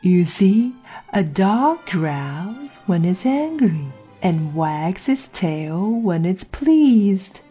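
A woman voicing a sly, riddling, calmly mad cat in a storybook, with a husky, breathy voice and a deliberate drawl. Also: medium pitch, faint music behind, full character voice. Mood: smugly logical. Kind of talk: audiobook character acting.

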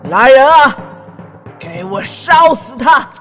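A man's voice: very high voice, animated, takes it slowly, fairly bright tone, highs cut off, exaggerated voice. A man playing a boastful, hot-tempered demon king who rants pompously, in a fan audio drama. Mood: commanding. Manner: pompous, ranting, indignant. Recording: long hall reverb with music.

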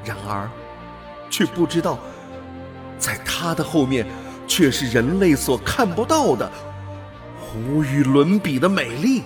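Male actor for awestruck explorer in an alien body, radio drama; slow theatrical wonder, light music underneath. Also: on the high side, big pitch swings. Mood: reverent wonder. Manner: theatrical, halting, exclamatory wonder. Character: awestruck man turned into alien Jovian creature, fervent explorer. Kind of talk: dramatic radio play acting.

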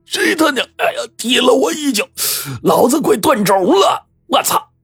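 A man voicing a coarse older man in an audio story, wailing and cursing in drawn-out, pained outbursts. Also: very high-pitched, big pitch swings, gravelly, a bit bright, breathy edge, dry recording, soft background music, voice pushed up, heavily acted. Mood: pained fury. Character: older man howling in pain, coarse and foul-mouthed.

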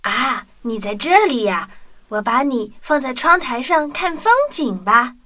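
A performer playing an eager, excitable little boy in a children's audio drama, with energetic, exclamatory delivery. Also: high voice, a little slow, heavily acted. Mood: pleased.

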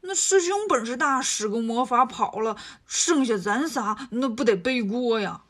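A woman's voice: a little high, expressive, fairly bright tone. Woman voicing a whiny stirring pig-monk. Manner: whiny, stirring up trouble, drawn-out. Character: greedy lazy pig-monk, male, sly troublemaker.

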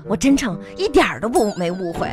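A woman's voice: medium pitch, big pitch swings, a little fast, over music, lightly acted. A woman acting a shrewd wife in a live comedy radio drama, coaxing and mock-sweet as she questions her husband. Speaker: radio show host and performer.

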